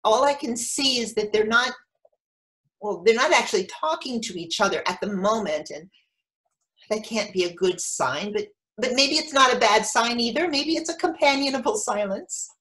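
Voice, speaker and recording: A woman playing an anxious, overeager mother of a teenager in an animated theatrical monologue, breaking into quoted dialogue. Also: a little high, normal speed. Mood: hopeful, nervous. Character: anxious, overeager mother of a teenage son, telling her story. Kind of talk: theatrical monologue, staged reading.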